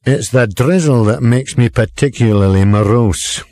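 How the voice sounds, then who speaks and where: A man's voice: medium pitch, moderate pace, dark tone, no room sound, close to an everyday voice. A man voicing a gloomy, aggrieved middle-aged lorry driver who rants. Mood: morose. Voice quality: ranting, table-thumping.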